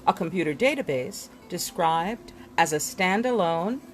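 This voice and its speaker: A woman reading glossary entries aloud from a book in an expressive style, giving headwords deliberate, dramatic emphasis. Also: lowish voice, faint music behind. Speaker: reader of a book.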